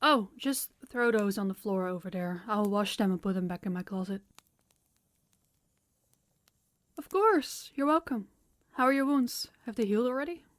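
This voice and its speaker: A woman playing a kind, practical vampire guide in an audio roleplay, speaking in a warm, reassuring manner. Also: medium pitch, animated, fairly quick, dry recording, close to an everyday voice. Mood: caring.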